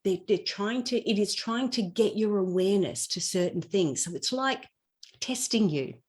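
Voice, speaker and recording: A woman speaks at an average pitch for a female voice. She explains in a conversational teaching style, as a guest on a podcast would. She illustrates her points with personal stories and everyday examples. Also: lively intonation, normal speed, a little mellow.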